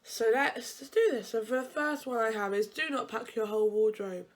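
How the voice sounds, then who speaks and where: A woman's voice: fairly high pitch, normal speed. Young woman student vlogger, stuffy nose, loose chatty delivery that wanders and restarts mid-sentence. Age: young adult. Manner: rambling, chatty, frequent self-corrections. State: blocked-up nose. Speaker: university vlogger. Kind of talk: casual advice vlog.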